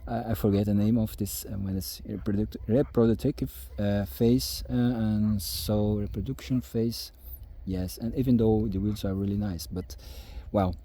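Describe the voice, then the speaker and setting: A man's voice: fairly low pitch, steady tone, moderate pace, mellow. A man with a Brazilian Portuguese accent, speaking hesitantly with many fillers in a casual outdoor farm vlog. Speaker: farmer vlogger.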